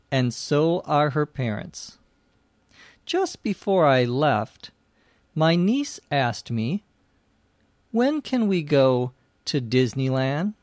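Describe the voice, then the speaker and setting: A middle-aged man explains English vocabulary at a very slow, careful pace, every word laid out plainly. His voice sits a little high for a man and sounds close and dry, with no room around it. Dry little jokes slip in between the definitions. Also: no echo. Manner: slow, clear, deliberate teaching delivery with dry jokes. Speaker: English teacher.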